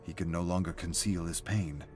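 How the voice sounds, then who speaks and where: A man narrates a scripted story in a very low, deep voice. His delivery is that of a prose reader, with music playing softly behind him. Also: fairly even intonation, normal speed.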